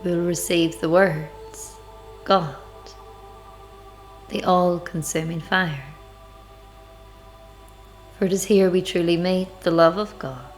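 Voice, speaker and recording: Very slow-paced woman guiding a meditation. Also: on the low side, fairly even intonation. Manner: measured, deliberate phrasing with pauses. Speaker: guided meditation leader. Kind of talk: slow guided meditation, devotional narration. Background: background music.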